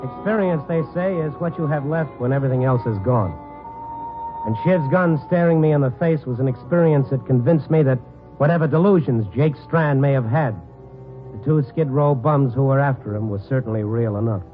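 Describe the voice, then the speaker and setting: An actor playing a wisecracking, cool-headed American newspaper reporter in a 1950s radio drama, with a wry, sardonic manner. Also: male voice, mid-range voice, moderate pace, soft background music, close to an everyday voice. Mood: tense narration. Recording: tinny 1950s radio recording.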